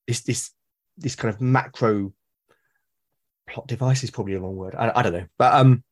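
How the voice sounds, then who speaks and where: A man's voice: mid-range voice, moderate pace. A British man with a mid-range voice chats as a podcast guest. He talks thoughtfully and at length, and often circles back to rephrase what he means. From Britain. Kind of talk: casual conversation about solo roleplaying.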